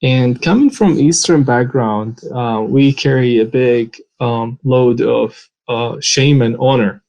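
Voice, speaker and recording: A man's voice: mid-range voice, slow, creaky, muffled recording. A man, from Arabia, telling his story as an interview guest with many hesitant fillers. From Saudi Arabia. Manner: frequent hesitant fillers, soft laughs. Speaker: guest, immigrant ministry worker. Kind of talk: personal testimony in interview.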